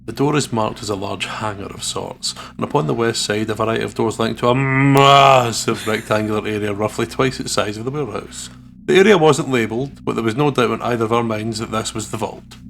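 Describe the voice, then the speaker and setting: A gravelly, creaky-voiced man reads a visual novel aloud as a let's player. He is playful and casual, and the recording carries a faint noise. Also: medium pitch, moderate pace, a bit bright. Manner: playful, sweary.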